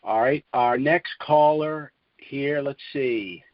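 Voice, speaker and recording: A man's voice: medium pitch, slow, no room sound. Dry, muffled man on a conference call. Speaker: conference call host and commentator. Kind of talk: opinionated call-in commentary. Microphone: telephone conference line.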